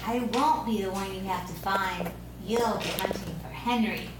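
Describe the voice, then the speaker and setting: A woman's voice: medium pitch, moderate pace, lightly acted. A woman playing a sly, coaxing nine-year-old imaginary boy at an amateur script read. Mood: sly, teasing. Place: echoey room, recorded from a distance. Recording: very echoey room, distant mic, hiss.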